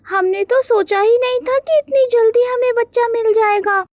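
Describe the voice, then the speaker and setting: A woman voicing an eager, fretful female crow, an accomplice wife in an animated bird story. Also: extremely high pitch, normal speed, no echo, studio quality, pitched above the performer's own voice. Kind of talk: animated moral story dialogue.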